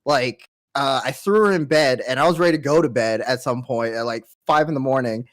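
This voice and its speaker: A man's voice: medium pitch, fairly quick. A young man with a nasal voice, telling a trip story in a laid-back, swearing way on a podcast. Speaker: podcast host. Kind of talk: casual chat.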